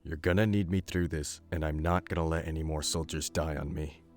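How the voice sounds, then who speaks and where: Man doing a gruff protective soldier, very deep voice, blunt, faint music behind. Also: steady tone, fairly quick, no echo. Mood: solemn. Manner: terse, blunt.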